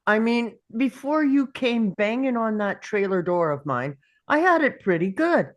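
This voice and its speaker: A woman reading the part of a sardonic old uncle in a deadpan, grumbling manner at a script table read. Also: a little high, a little slow. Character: gruff, sardonic old uncle, grudgingly fond of his nephew.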